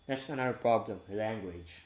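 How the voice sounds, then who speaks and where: Young man, 18, from Southeast Asia, non-native English speaker, frank and halting, stops to search for words; casual confessional monologue. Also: fairly low pitch, darkish tone. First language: not English. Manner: halting, rambling, frank, self-correcting.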